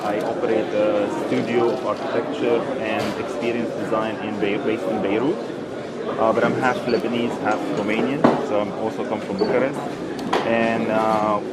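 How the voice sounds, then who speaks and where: A man's voice: on the low side, heavy background noise. A man, an architect, introducing himself steadily with some fillers.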